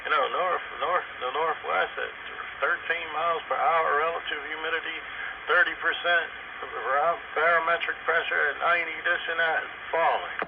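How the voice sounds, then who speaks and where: A man's voice: on the high side, steady tone, normal speed, gravelly, highs cut off. Folksy man on a noisy two-way radio. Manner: folksy, colloquial, repeats himself. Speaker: amateur radio operator. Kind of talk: casual radio chat.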